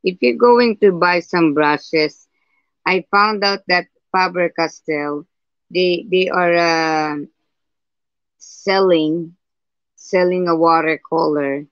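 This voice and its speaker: A woman with a husky voice hosting a live painting tutorial, talking slowly and hesitantly with many fillers and restarts. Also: lowish voice, no room sound. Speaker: art livestream host. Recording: dull lo-fi livestream audio.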